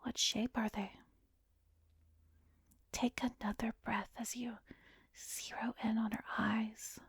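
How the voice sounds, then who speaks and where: A woman playing a calm, coaxing female therapist in a whispered ASMR hypnosis roleplay, soothing and measured, with a soft breathy voice close to the microphone. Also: on the high side, no room sound, heavily acted. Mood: softly probing. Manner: slow, soothing, measured guiding with pauses. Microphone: close microphone, dry recording.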